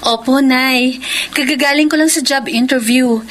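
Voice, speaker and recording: An actress playing an eager, dutiful young daughter in a Filipino radio drama, respectful and earnest. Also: female voice, sits high, steady tone, normal speed, fairly bright tone, slight background noise. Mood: excited, proud.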